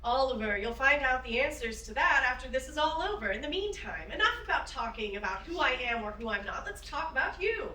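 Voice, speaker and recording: A woman's voice: high-pitched, lively intonation, a little fast, hardly any pauses, sharp tone. A woman playing a spirited, encouraging woman aviator in a staged play reading, with an earnest, emphatic manner. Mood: firm, knowing. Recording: roomy, distant microphone.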